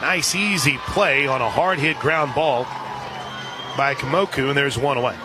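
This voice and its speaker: A man doing live radio play-by-play of softball as the game announcer. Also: medium pitch, normal speed. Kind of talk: live play-by-play sports commentary. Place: outdoor softball ballpark broadcast position. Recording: crowd noise, noisy outdoor ballpark broadcast. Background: ballpark crowd and ambient noise.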